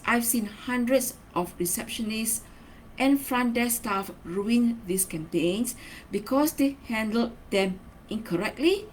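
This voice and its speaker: Woman presenting a training lesson, noisy background. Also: mid-range voice. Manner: instructional, emphatic key words, frequent tag questions like okay and right. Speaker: marketing trainer. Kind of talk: semi-scripted video training lesson, read and explained.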